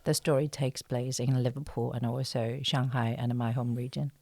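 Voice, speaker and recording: A woman's voice: a bass voice, level tone, moderate pace, vocal fry, darkish tone, no room sound. Thoughtful woman from China, dry close recording. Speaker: actress, interview guest. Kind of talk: casual interview answers.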